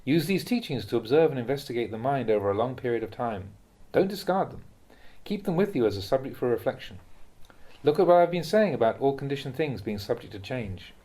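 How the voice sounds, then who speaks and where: A man with a mid-pitched voice reads spiritual teachings in the style of an audiobook. His delivery is measured and instructive, and each phrase is clearly set out. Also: lively intonation, dark tone. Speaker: reader of translated Buddhist teachings.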